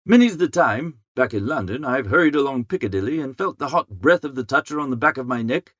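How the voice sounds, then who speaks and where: A man playing a good-natured, easily flustered young upper-class idler who narrates an audiobook in a chatty, slangy, expressive way. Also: mid-range voice, fairly quick, no echo, studio quality, pitched below the performer's own voice. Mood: friendly, wry. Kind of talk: first-person audiobook narration.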